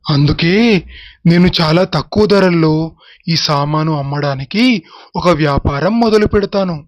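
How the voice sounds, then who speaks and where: A man playing a gentle, sorrowful village father who explains things tenderly and patiently, in an animated moral story. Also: on the high side, moderate pace, dry recording, natural voice. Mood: patient explaining. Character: gentle, sorrowful, doting village father and cremation-ground keeper.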